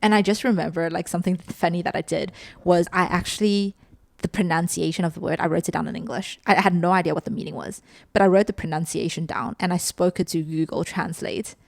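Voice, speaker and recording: Podcast co-host: young woman from South Africa, casual, talkative, slightly fry-laden delivery. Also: on the low side, steady tone, fast, dry recording, studio quality. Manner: chatty, filler-heavy, ends points with "right?". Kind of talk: casual chat.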